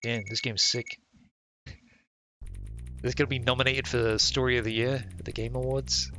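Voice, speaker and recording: A man's voice: mid-range voice, little pitch movement, unhurried, noisy background. A man live-streaming a game, reading its text aloud and commenting in a casual, slangy, sweary way.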